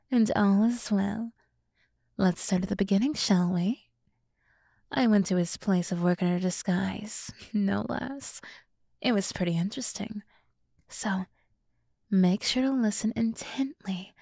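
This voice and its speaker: A smug, seductive demoness, acted by a woman, devotes herself to her master in an ASMR roleplay. Her voice is slow and breathy with a creaky edge, often sinking into whispers. She boasts theatrically and flatters sweetly. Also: medium pitch, no room sound, studio quality.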